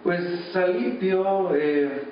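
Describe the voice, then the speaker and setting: A Mexican man in his thirties answering interview questions casually and haltingly, searching for words. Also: high voice. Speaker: actor being interviewed. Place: small office indoors. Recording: echoey, muffled, noisy, distant microphone.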